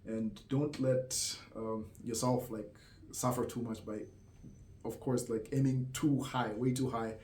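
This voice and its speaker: A man's voice: mid-range voice, steady tone, a little slow. A man from Zambia talking casually to camera, thoughtful and hesitant, with lots of "um" and "like" and small laughs. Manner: hesitant, many fillers and soft laughs. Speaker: vlogger. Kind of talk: casual reflective monologue. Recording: roomy echo.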